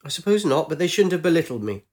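A woman's voice: low-pitched, very animated, quick pace, darkish tone, pitched above the performer's own voice. A man voicing a bewildered, ancient woman who speaks in eager, faltering bursts. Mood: defensive. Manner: faltering, uncertain questions.

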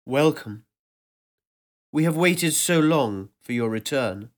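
Ancient cosmic being, solemn, booming, calm, a man putting it on. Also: slow pace. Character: vast cosmic presence, calm, ancient, welcoming.

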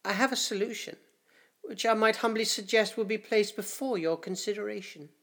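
A man's voice: high-pitched, moderate pace, pushed and bright, voice pushed up. A man voicing a wizened, bent old male councillor who speaks in a fawning, obsequious way.

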